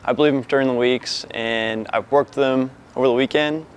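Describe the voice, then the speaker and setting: A young man, a student athlete, speaking low-key in an interview. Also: fairly even intonation, a little fast, a little hiss or hum.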